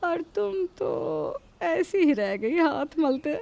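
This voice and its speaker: A woman's voice: high voice, big pitch swings, slow, creaky, darkish tone, full character voice. A woman playing a taunting, gossipy middle-aged neighbour in a cartoon story, sarcastic and needling. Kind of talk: Hindi cartoon story acting.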